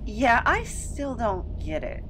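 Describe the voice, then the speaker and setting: A woman's voice: a little high, wildly swooping pitch, takes it slowly, exaggerated voice. A woman playing a disdainful, bewildered captive with a snooty drawl in an audio comedy drama. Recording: clear ambient background noise.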